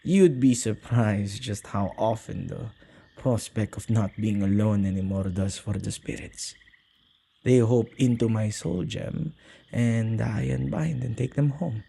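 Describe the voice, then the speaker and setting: Slow, husky, low-voiced male witch, acted by a man. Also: heavily acted. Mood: patient, explaining. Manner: halting, gentle, slightly archaic phrasing. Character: possessive male witch, soft-hearted keeper of ghosts. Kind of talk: intimate ASMR audio roleplay.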